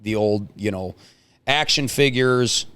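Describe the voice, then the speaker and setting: A man's voice: fairly low pitch, slow pace, fairly bright tone, smooth. A man in his forties hosting a casual interview, talking informally with slang and rambling asides. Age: 40s. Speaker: host.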